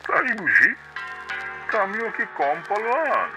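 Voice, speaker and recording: A man voicing a gullible, boastful tiger in a cartoon, pompous and easily flattered. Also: high voice, measured pace, full character voice, low-quality recording. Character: gullible, boastful tiger, self-styled king of the jungle. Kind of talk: cartoon voice acting. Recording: loud music behind the voice.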